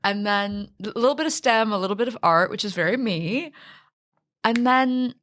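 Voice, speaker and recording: A woman from Silicon Valley, a fintech founder chatting as an interview guest, self-deprecating and quick to laugh. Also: medium pitch, a bit bright, a little hiss or hum. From Silicon Valley, USA. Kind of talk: conversational interview.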